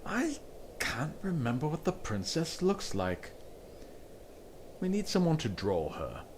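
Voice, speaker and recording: An actor playing a blunt, lecherous Duke in a storybook audio drama, grumbling and emphatic. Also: male voice, a little high, huge pitch swings, slow, slight background noise, exaggerated voice. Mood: musing, blunt. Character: lecherous, blunt, bearded middle-aged Duke.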